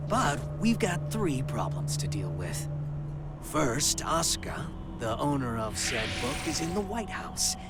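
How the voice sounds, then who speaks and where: A man voicing a talkative, teasing, eccentric schemer in a video game, with a theatrical, wry delivery. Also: on the low side. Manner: theatrical, wry, swoops from hushed asides to exclamations. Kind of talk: English video game dub acting.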